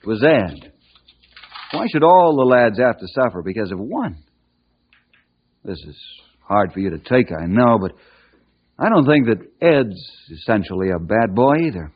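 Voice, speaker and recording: A man's voice: lowish voice, sing-song, normal speed, pauses often, slightly rough. An actor playing an earnest, kindly American man who mentors boys, in an old-time radio drama. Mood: persuading. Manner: reassuring, wholesome, earnest. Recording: muffled old radio broadcast recording.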